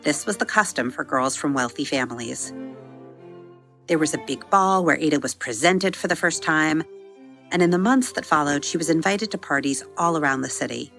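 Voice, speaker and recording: A woman reading a scripted children's story as a podcast host, with measured, expressive storytelling. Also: deep voice, vocal fry, soft background music.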